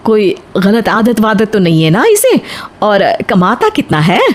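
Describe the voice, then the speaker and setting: Female narrator as practical storybook mother, middle-aged, coaxing, very animated delivery. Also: mid-range voice, unhurried.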